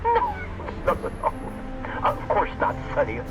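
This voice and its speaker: A man voicing a nervous, stammering rabbit in a noir game, eager to please and halting in speech. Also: very high-pitched, wildly swooping pitch, exaggerated voice, poor audio. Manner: jittery, servile, halting. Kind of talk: cartoonish noir game acting. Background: music.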